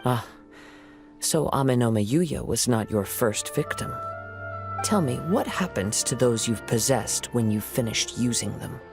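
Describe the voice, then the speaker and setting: A male actor playing a calm, perceptive young wandering swordsman, speaking in a measured, soft-spoken, reflective way for a video game. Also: medium pitch, music in the background. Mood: calm, probing. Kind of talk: dramatic video game voice acting.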